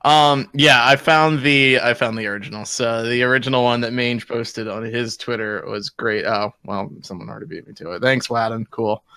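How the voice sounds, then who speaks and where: A man's voice: medium pitch, steady tone, normal speed, hardly any pauses, sharp tone, clear, resonant tone, no room sound. A man co-hosting a podcast in casual, jokey group chat. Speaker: podcast co-host.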